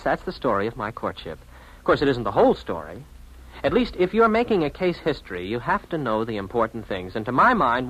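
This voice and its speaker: A man's voice: medium pitch, a bit bright. An actor playing an awkward, bashful young bachelor in an old-time radio drama, hesitant and wry as he tells his own story. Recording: noisy vintage radio recording.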